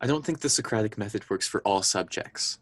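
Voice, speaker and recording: A man's voice: lowish voice, lively intonation, a little fast. A young man playing an exasperated, evasive boyfriend in a contemporary radio drama, defensive and bantering. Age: young adult. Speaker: student voice actor.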